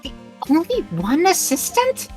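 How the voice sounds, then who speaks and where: A woman's voice: very high voice, big pitch swings, unhurried, a little mellow, faint music behind, heavily acted. A woman voicing an eager, naive young female legal assistant in an amateur dub of a video game.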